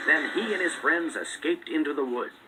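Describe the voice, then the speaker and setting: A man narrating a children's storybook read-along in an expressive, dramatic way, with loud music underneath. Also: a little high, normal speed, low-quality recording.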